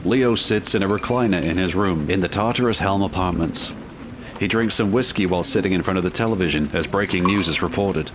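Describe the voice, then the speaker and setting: A man narrating a scripted gothic audio drama as a measured, descriptive storyteller. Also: deep voice, noisy background.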